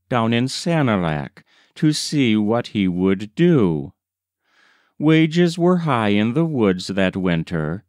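A man reading a short story aloud as scripted literary narration, in a measured, deliberate storytelling manner. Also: fairly low pitch, dry recording, clean studio sound. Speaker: audiobook reader.

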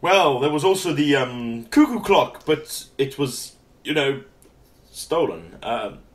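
An actor playing a pompous, inept posh British brother in a radio comedy, grandiose and formal but hesitant. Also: male voice, mid-range voice, big pitch swings, slow, soft background music. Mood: pompous, rueful. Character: pompous, inept posh brother running a failing antique shop.

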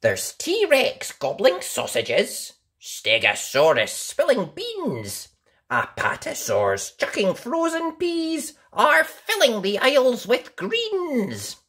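Woman doing a kids read-aloud, really swoopy voice, takes it slow and dramatic. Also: a little high, huge pitch swings, a bit bright. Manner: theatrical, deliberate storytelling. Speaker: story reader and host. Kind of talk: expressive children's read-aloud.

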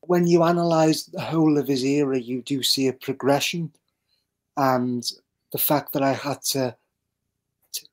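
A man giving a reflective interview as a voice actor on an online call, speaking haltingly as he thinks aloud. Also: mid-range voice, fairly even intonation. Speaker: podcast guest, voice actor.

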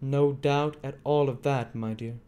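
A man's voice: medium pitch, fairly even intonation, measured pace, mellow, clean tone, pitched above the performer's own voice, natural voice. A man voicing a sly, wheedling old crime boss with a coaxing, falsely affectionate manner in a storybook reading. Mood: flattering. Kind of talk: acted dialogue in a classic novel reading.

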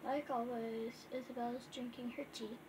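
Young girl gaming vlogger, high voice, noisy phone recording in a dim bedroom. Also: level tone. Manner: chatty, reads game letters aloud haltingly. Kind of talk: casual gameplay chat.

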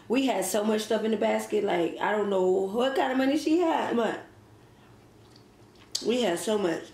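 A woman's voice: medium pitch, measured pace, long pauses, a little mellow. A woman in her thirties chatting casually while she eats, laughing often and talking between bites. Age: 30s. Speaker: mukbang vlogger. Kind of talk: casual Q and A chat while eating. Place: home kitchen, indoors. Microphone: fixed camera across a table. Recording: echoey kitchen with faint ambient noise.

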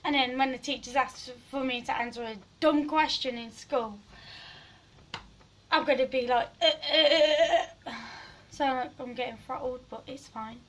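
Hoarse teenage girl rambling at a phone. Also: high voice, normal speed, slight background noise, low-quality recording. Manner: rambling, giggly, drifts loud and soft. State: hoarse, losing her voice. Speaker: vlogger. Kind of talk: casual selfie vlog chat. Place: small bedroom indoors. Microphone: phone camera at arm's length.

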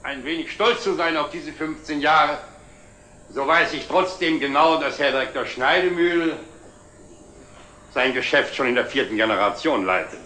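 An actor playing a jovial, self-made older company director, giving a proud, deliberate speech in a 1960s German TV drama. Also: male voice, on the high side, bright, forceful tone. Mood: proud, solemn. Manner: expansive, deliberate speechmaking with wry chuckles. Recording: slightly noisy old 1960s TV soundtrack.

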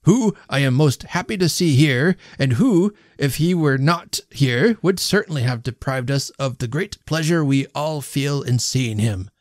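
A man voicing a self-important, stentorian host who speaks haltingly and pompously in a storybook reading. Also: mid-range voice, animated, no echo, clean studio sound, voice pushed up, close to an everyday voice. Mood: pompous, floundering. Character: self-important, stammering middle-class host making a pompous toast. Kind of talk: storybook character voice.

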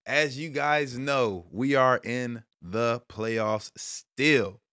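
A man in his thirties from Brooklyn, hosting a casual sports talk stream from a small room at home, switching between loud hype and low muttered asides. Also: drawn-out words, no room sound, clean studio sound. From Brooklyn, New York. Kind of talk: casual monologue to viewers.

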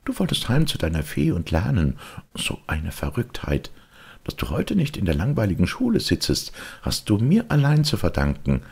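A man's voice: on the low side, huge pitch swings, moderate pace, creaky, a little mellow, husky, voice pushed up, heavily acted. A man voicing a smug, cocky schoolboy in a storybook, boastful and insistent.

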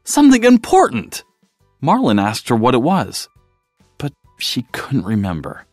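A man reading a children's storybook aloud as narrator, in an expressive style, close to the mic. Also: fairly low pitch, measured pace, slightly rough, no room sound, soft background music.

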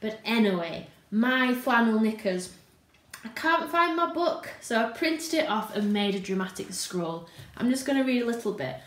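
A British woman in her thirties talks casually to camera at home. Her voice sits fairly high, and the room gives it a noticeable echo. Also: animated, moderate pace, fairly bright tone. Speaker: presenter. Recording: roomy home sound.

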